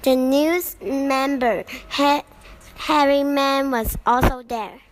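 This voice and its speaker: A child narrator reading out a scripted puppet show story in a halting way. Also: high voice, poor audio.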